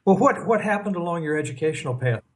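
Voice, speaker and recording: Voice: mid-range voice, animated, quick pace. An interview host who asks formal, polite questions and encourages the guest with frequent affirmations.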